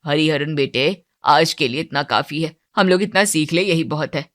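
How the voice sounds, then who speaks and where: A woman voicing a mild, agreeable middle-aged father in a cartoon moral story, speaking in a measured, conciliatory way. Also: sits low, no room sound, studio quality, voice pushed down, lightly acted. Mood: content.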